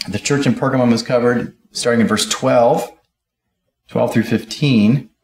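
A man's voice: mid-range voice, echoey room. A middle-aged man preaching a sermon at a pulpit, reading scripture aloud and explaining it verse by verse. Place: church auditorium, speaking at a pulpit.

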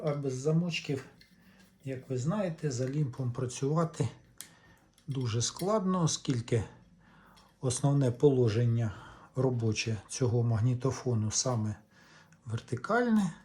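A man giving a casual hands-on demonstration as a video host, speaking in short, halting, unhurried phrases. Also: medium pitch, dark tone. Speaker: host of a reel-to-reel repair channel.

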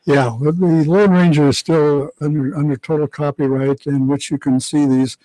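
A man's voice: fairly high pitch, steady tone, normal speed, warm tone, no room sound, poor audio. An older American man talking conversationally as an expert guest in a radio studio. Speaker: radio historian, interview guest. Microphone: broadcast boom mic.

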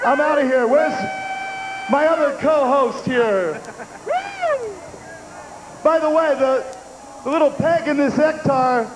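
An older man with a high, unhurried voice jokes and leads chants into a handheld mic on an outdoor festival stage. His voice booms back off the stage's PA with a long echo. Crowd noise hums behind him. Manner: shouted crowd chants, goofy puns. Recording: echoey outdoor PA with loud crowd.

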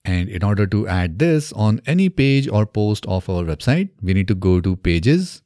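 A man in his thirties presenting a software tutorial step by step in a methodical way, recorded close on a desk microphone in a small home studio. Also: on the low side, big pitch swings, normal speed, no room sound. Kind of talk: scripted software tutorial narration. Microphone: close desk microphone on boom arm.

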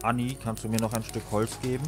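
A man filming a casual fishing vlog outdoors by a river, chatty and getting excited as he fishes. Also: medium pitch, fast, over music. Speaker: fishing vlogger. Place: outdoors by a river and in a small hut.

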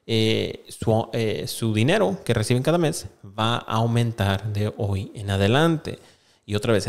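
A man in his thirties hosting an online channel, reading aloud and explaining in a casual, halting way, close to a desk microphone. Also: fairly low pitch, darkish tone. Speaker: YouTube host. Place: small indoor room with plain wall. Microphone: desk microphone close in front of him.

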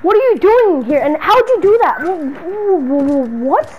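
A woman's voice: extremely high pitch, expressive, moderate pace, lo-fi sound. A woman playing an exasperated, slangy city dweller hounded by a stalker friend in an improvised video game roleplay. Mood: exasperated scolding. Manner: slangy, muttering to self, then scolding.

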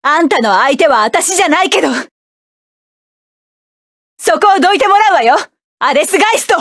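A woman voicing a fierce, commanding young mech pilot in a game, with forceful battle shouts and clipped commands. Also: very high voice, lively intonation, normal speed, no echo, clean studio sound. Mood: cool. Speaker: voice actress. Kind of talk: game voice clips.

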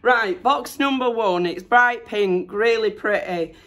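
A middle-aged woman chatting excitedly to camera from her bedroom, her voice still hoarse after losing it to illness. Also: fairly high pitch, takes it slowly. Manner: chatty, excited, self-deprecating. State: hoarse, recovering from lost voice. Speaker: vlogger. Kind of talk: casual chat. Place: bedroom at home, indoors.

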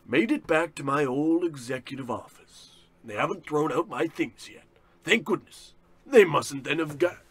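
A pompous, despairing executive in an old-style radio comedy. His voice is high and husky, with overwrought delivery prone to ranting, recorded very dry. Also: no echo, exaggerated voice. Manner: pompous, overwrought, swinging into shouts. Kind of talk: parody of old radio drama.

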